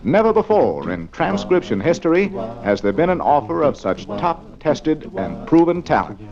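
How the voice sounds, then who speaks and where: A man announcing a scripted promotional sales pitch in the role of a radio announcer. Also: big pitch swings, normal speed. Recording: dull vintage radio transcription, music behind. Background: music.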